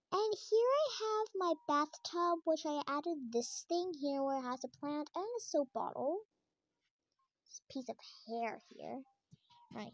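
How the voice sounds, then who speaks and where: A woman's voice: sits high, dry recording. A young teenage girl giving a rambling, casual tour of her game house while filming a tablet screen, with lots of fillers and restarts.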